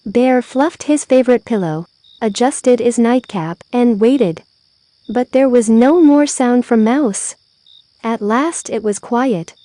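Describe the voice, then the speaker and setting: Slow, mellow woman reading a bedtime story. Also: on the high side, no echo. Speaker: storybook reader. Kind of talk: scripted children's bedtime story read-aloud.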